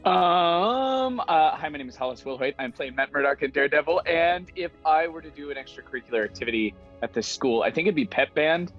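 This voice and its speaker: A man talking casually and haltingly as a player on a roleplaying stream. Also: fairly high pitch, expressive. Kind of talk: casual chat, self-introduction. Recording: dull lo-fi mic, faint music. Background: music.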